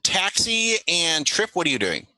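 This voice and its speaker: Bright, animated male game master. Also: a little high, measured pace, no echo, rough recording. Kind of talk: running a tabletop roleplaying game, casual.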